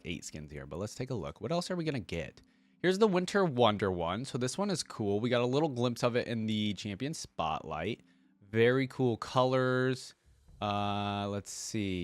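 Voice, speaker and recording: A man's voice: fairly low pitch, dry recording. A man hosting a reaction video, commenting in a casual, slangy and exclamatory way.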